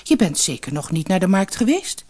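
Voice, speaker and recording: A woman's voice: fairly low pitch, sing-song, brisk, dark tone, breathy edge. A woman voicing a worried, reproachful, long-suffering mother in a fairy tale, sighing and exasperated. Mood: surprised, reproachful.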